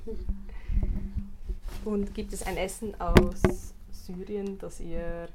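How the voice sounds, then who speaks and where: Slow-speaking interviewer, noisy background. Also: female voice, on the low side, steady tone. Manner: short encouraging replies.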